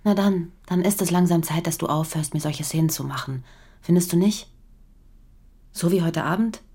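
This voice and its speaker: A worn but defiant wife in a radio crime play. Her voice sits fairly low. She talks frankly, with reproach toward her jealous husband. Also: normal speed, mellow, husky. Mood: reproachful. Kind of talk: German radio crime drama acting.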